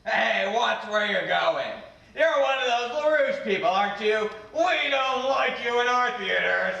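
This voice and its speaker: A man's voice: extremely high pitch, lively intonation, unhurried, gravelly, sharp tone, slightly rough, dull audio, heavily acted. A man playing a hostile, scornful character in a comic puppet play. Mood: confrontational. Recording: very noisy, distant microphone.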